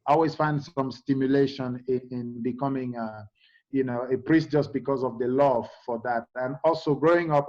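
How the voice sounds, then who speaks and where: A middle-aged man from Cameroon, a guest in a conversational interview, speaking in a halting way with many fillers and restarts. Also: medium pitch, a little mellow, highs cut off.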